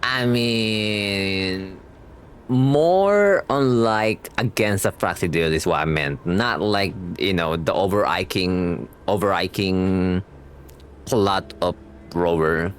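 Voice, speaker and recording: A man's voice: low-pitched, slow, a little hiss or hum. A young man giving casual, slangy reaction commentary as a game streamer, rambling and rephrasing as he goes.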